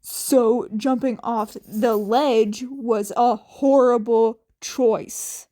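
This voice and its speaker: A woman voicing a blunt, stern teenage boy with a deadpan, grumbling way of talking in fan fiction dialogue. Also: fairly high pitch, extremely slow. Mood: argumentative. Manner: deadpan, grumbling, plain-spoken.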